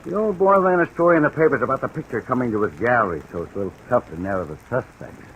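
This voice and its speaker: An American man playing a gruff, matter-of-fact police detective in an old-time radio drama. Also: medium pitch, lively intonation, very fast, a bit bright, dull audio, natural voice. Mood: businesslike. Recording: old radio recording, faint crackly hiss.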